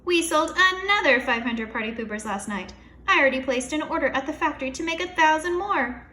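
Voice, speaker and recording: A woman's voice: sits high, lively intonation, a little fast, pushed and bright, pitched above the performer's own voice. A woman doing a chirpy eccentric lady inventor. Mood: upbeat. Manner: chirpy announcing. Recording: roomy room echo, faint hiss.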